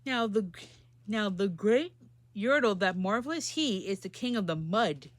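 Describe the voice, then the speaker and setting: A woman's voice: medium pitch, animated, unhurried, dry recording. A woman storyteller reading a children's storybook aloud and adding simple asides about the pictures.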